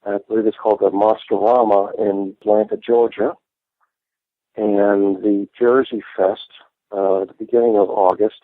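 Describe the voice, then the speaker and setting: Male American interview guest, fairly low voice, narrow telephone sound, dry, casual delivery. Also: flat delivery, moderate pace, no echo. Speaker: podcast guest, artist. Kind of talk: casual interview by remote call. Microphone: phone or internet call. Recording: thin phone-like call audio.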